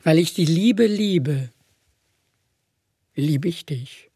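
A man reciting poetry as a reader in a deliberate, declamatory way. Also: fairly high pitch, animated, mellow, breathy edge, no echo, studio quality. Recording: music playing behind the voice. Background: music.

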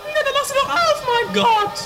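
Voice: very high-pitched, moderate pace. A performer voicing a bossy, scornful, melodramatic sister in an improvised trashy comedy radio play. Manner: haughty, exclaiming. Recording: music under amateur 1980s radio play.

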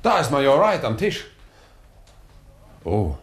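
An actor playing an eager, inquisitive journalist in a 1960s German radio crime drama, earnest and questioning. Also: male voice, medium pitch, wildly swooping pitch, slow. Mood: shocked. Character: eager, inquisitive male journalist tagging along with police. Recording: faintly noisy 1960s radio drama recording.

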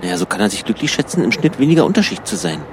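Fast, lively, cheeky male actor as an argumentative man. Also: mid-range voice, gravelly, slight background noise. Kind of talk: casual bantering dialogue.

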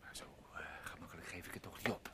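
A man's voice: fast, in a whisper, lightly acted. An actor in a radio play playing an embittered, scheming older man who mutters to himself. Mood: sly muttering. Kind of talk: Dutch radio play acting. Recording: noisy old radio play recording.

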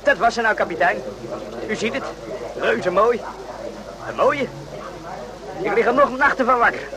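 A man's voice: sits high, expressive, moderate pace, crowd noise. A man playing a brash army sergeant in a radio drama, talking bluntly and colloquially. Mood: admiring. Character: brash, excitable army sergeant on night watch.

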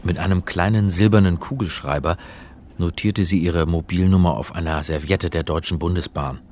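An actor playing an earnest, uneasy middle-aged academic in a radio drama, speaking in a measured way as narrator and in dialogue. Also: male voice, sits low, steady tone, a little mellow, slight background noise, lightly acted. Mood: brooding, tense. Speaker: actor and first-person narrator.

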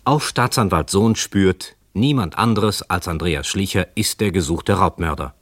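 A man narrating a scripted crime radio drama in a measured, factual way. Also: sits low, dry recording.

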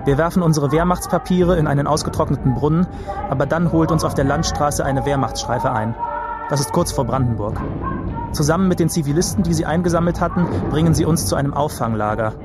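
An actor as a war survivor giving a first-person account in a radio play. His voice is fairly high for a man, sober and matter-of-fact in short sentences, with loud music and vehicle noise behind. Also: brisk, close to an everyday voice. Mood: matter-of-fact, reporting. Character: war survivor soberly recounting a flight from the front.

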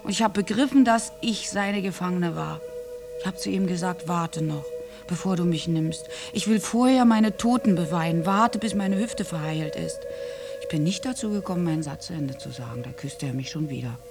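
An actress playing a bitter, war-scarred orphaned young woman in a radio play monologue, halting and intense. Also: fairly low pitch. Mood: haunted, grim. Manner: halting, intense, dropping into breathy whispers. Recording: music behind the voice. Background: music.

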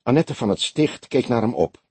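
A man's voice: medium pitch, animated, normal speed, a little mellow, breathy edge, dry recording. A man reading a crime novel aloud as scripted audiobook narration.

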